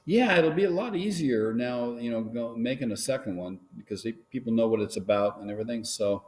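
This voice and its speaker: A man talking casually on a live stream about making his films, rambling with many pauses and restarts. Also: medium pitch, expressive, a little mellow. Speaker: filmmaker guest.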